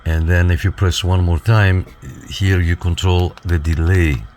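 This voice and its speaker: A man with a low, deep voice demonstrates equipment in a casual review style. He explains things step by step and checks in as he goes. There is clear noise behind his voice. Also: little pitch movement, a little slow, a little mellow. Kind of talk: casual hands-on product walkthrough.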